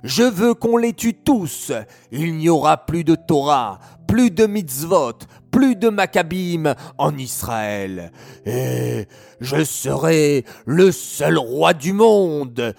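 A man's voice: a little high, sing-song, takes it slowly, gravelly, exaggerated voice. A man voicing a cruel, raging tyrant king who barks orders. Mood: furious. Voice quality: shouting, furious.

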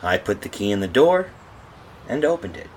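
A man playing a tormented husband in an old-style radio horror drama, theatrical and husky. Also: fairly low pitch, very animated, a little mellow, a little hiss or hum. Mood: anguished, brooding. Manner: theatrical, brooding, halting monologue. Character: tormented lost husband, haunted, telling his doom.

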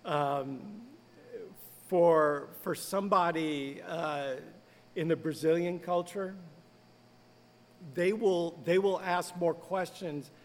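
A man's voice: fairly high pitch, fairly even intonation, slow, pauses often, big-room sound. A man who is a pastor, speaking earnestly and conversationally in a podcast interview. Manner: conversational, earnest, lightly laughing.

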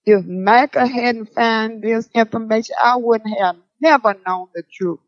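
A woman playing a wounded older daughter in an audio drama, creaky-voiced, on a dull recording. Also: fairly high pitch, unhurried, no room sound. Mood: hurt, reflective. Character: wounded older daughter remembering a family secret.

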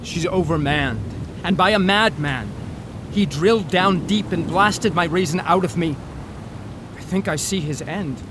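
An actor playing a dutiful but conflicted first mate of a whaling ship, speaking archaic lines with earnest anguish in an audio drama. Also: male voice, measured pace, natural voice. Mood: tormented. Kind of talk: theatrical audio drama acting. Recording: clear noise, low rumble of ship ambience.

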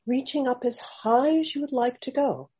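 A woman leading a guided meditation, offering gentle invitations with long pauses between phrases, in slightly dull audio. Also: high-pitched, a little hiss or hum. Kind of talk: slow guided meditation narration.

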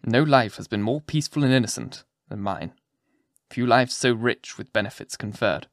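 A man's voice: mid-range voice, moderate pace, no room sound, clean studio sound, lightly acted. Male reader as elderly physician turned fiend: vengeful, brooding, archaic and theatrical storybook delivery. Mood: brooding gloom. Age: older.

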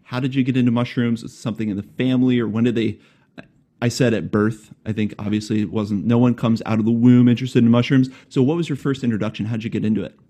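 A man's voice: mid-range voice, steady tone, quick pace, mellow. Joking man hosting a podcast. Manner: friendly, joking, rambling questions. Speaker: podcast host. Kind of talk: scripted intro, then casual interview.

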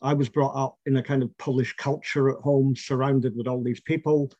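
A middle-aged man recalls his family's past in an oral history interview. He speaks in a measured, reflective way, with fillers and restarts. The recording is dry and a little dull and lo-fi. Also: medium pitch, little pitch movement, warm tone, no echo. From Scotland.

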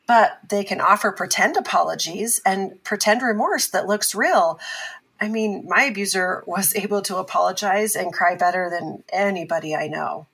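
A woman playing an earnest abuse survivor and advocate as a talk-show guest in a fiction podcast, speaking in a measured, explanatory way. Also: medium pitch, creaky, a bit bright, slightly rough, low-quality recording.

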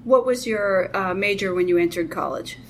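A woman hosting an interview podcast who reads prepared questions in a clear, even way. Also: medium pitch, normal speed, slight background noise. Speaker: podcast host.

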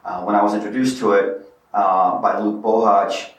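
A man's voice: fairly low pitch, flat delivery, moderate pace, heavy reverb, distant mic, rough recording. A man from Bosnia whose first language is not English, telling his story earnestly and reflectively as a guest in an interview. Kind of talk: casual interview.

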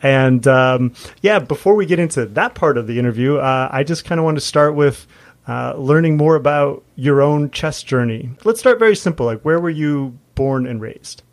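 Male podcast host, medium pitch. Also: expressive, normal speed. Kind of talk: interview and scripted introduction.

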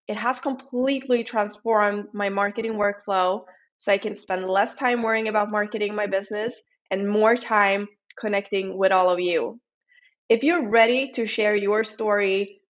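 A woman's voice: medium pitch, steady tone, moderate pace, dull audio. A woman with a Swedish accent, a non-native English speaker, reading a scripted podcast intro and sponsor message as the host. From Sweden.

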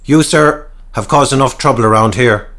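A man voicing a solemn, philosophical townsman who speaks in a measured way. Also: mid-range voice, a bit bright, natural voice. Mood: stern.

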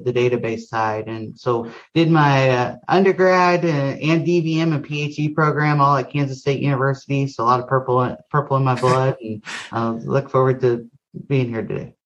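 A man speaks as a guest on a podcast, in a medium voice that comes through dull and lo-fi. He keeps restarting his words and leaning on "uh". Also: medium pitch. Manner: stammering restarts, many fillers. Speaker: guest, research director. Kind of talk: interview answers. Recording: dull lo-fi sound.

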